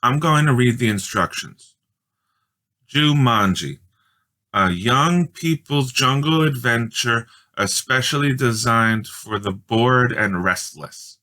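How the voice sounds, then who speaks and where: A man voicing a bossy, determined young girl, an older sister in a storybook, with emphatic, deliberate delivery. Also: mid-range voice, bright, forceful tone, voice pushed up. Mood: bossy, instructive.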